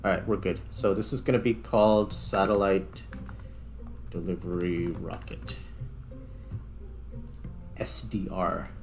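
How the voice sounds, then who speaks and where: A man giving casual gameplay commentary, thinking aloud in a halting, musing way. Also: low voice, fairly even intonation, a little mellow, soft background music. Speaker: gaming video host.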